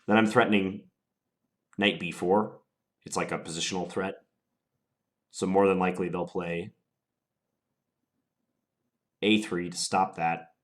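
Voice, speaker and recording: Deep-voiced guy, stuffy from a cold, musing out loud about his chess moves. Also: fairly even intonation. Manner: deliberate, musing, unhurried analysis. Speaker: chess player commentating his own game. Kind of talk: thinking aloud while playing.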